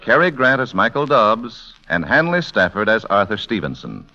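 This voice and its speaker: Animated vintage radio announcer, a man. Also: on the low side, normal speed. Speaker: announcer and narrator. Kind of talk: 1940s radio drama narration. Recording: dull vintage radio, loud music behind. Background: music.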